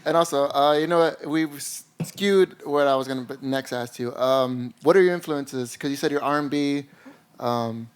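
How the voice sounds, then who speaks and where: A man's voice: medium pitch, normal speed, smooth. A young man, co-hosting a casual podcast. He rambles with many fillers. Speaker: podcast co-host.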